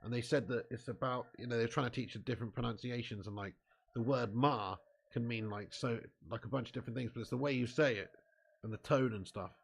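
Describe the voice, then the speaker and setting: A man's voice: medium pitch, quick pace, creaky, breathy edge. A cheeky young man with an English accent, hosting a football watch-along live stream and bantering while reading viewer comments. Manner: cheeky, banter-filled, laughs often.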